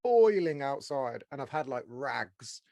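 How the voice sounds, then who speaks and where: A man hosting a podcast as a casual, blokey, excitable chat with plenty of swearing. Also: medium pitch, measured pace, gravelly, no echo. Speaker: podcast host. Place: small studio room.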